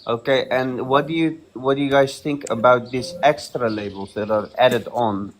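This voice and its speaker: A South African man in his thirties speaks with hesitant, apologetic phrasing, English being his second language. His voice sits at an ordinary pitch for a man, with a light hiss in the background. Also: fairly even intonation, moderate pace. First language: not English. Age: 30s. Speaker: guest caller.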